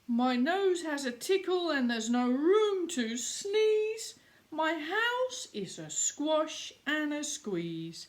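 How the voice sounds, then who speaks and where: Woman acting a high-pitched, flustered little old lady. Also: very animated, heavily acted. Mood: distressed, pleading. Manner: plaintive, exclaiming, drawn-out pleading. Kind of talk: children's storybook read-aloud.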